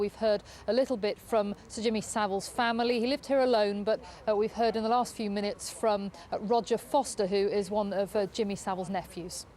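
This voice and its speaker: A woman's voice: medium pitch, darkish tone. Woman reporting live from a noisy street. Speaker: news correspondent reporting live. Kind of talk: live news report, semi-improvised. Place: outdoors on a residential street. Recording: outdoor street ambience with traffic noise.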